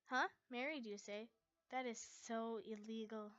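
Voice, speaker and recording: A woman's voice: on the high side, steady tone, measured pace, fairly bright tone, no echo, lo-fi sound. A woman voicing a scornful teenage boy, an anime hero's needling rival, mocking and argumentative. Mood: stern.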